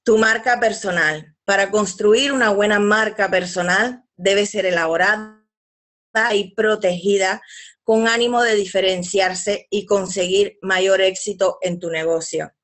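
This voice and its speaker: A woman's voice: mid-range voice, steady tone, a bit bright. Hesitant woman presenting a webinar. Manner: hesitant, fills pauses with "pues" and restarts. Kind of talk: reading slides in an online business webinar.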